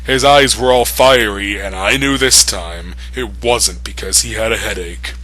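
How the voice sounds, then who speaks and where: A man's voice: fairly low pitch, measured pace, sharp tone. A man reading fan fiction aloud for comedy, breaking in with mocking, amused asides. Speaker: reader.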